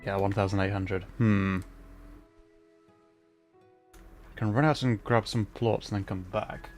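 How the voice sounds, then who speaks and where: A man's voice: on the low side, steady tone, unhurried, soft background music. A man casually chatting on a gaming livestream, thinking aloud in a hesitant way. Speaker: streamer. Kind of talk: casual livestream gameplay chat.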